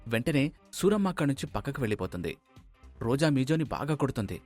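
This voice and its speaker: A man narrates a scripted tale in a medium-pitched voice. He works as the storyteller, with faint music playing behind him. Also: big pitch swings, fast, darkish tone.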